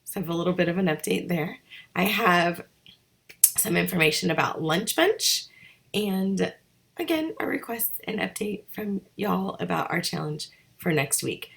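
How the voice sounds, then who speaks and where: Hoarse woman giving school announcements. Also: fairly low pitch, expressive, normal speed, fairly bright tone. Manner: chatty, upbeat, rambling.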